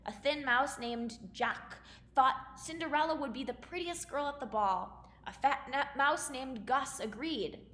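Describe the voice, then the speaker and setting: A woman gives a lesson and read-aloud in a bright, slightly high voice. Her phrasing is simple and instructive, as for young pupils. The room is echoey with faint noise. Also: measured pace. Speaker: elementary school teacher. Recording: roomy echo, faint background noise.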